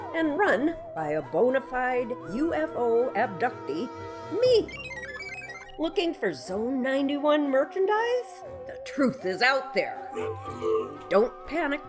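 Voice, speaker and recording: A woman playing a kooky, folksy, alien-obsessed shopkeeper who pitches theatrically in a parody local radio commercial. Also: exaggerated voice. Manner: theatrical, folksy, dramatic salesmanship. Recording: music bed under the ad.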